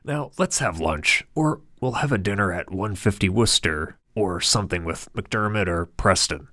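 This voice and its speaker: Guy doing a snooty businessman, plummy and condescending, high and loud, dry sound. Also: expressive, moderate pace, no echo. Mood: airily dismissive. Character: snobbish, dismissive British businessman, middle-aged.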